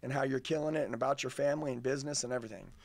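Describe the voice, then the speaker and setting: A man's voice: medium pitch, very fast, gravelly. A middle-aged American man hosting a motivational interview podcast, speaking in an emphatic, slangy way. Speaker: podcast host, sales trainer. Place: small padded podcast studio. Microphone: boom-arm studio microphone.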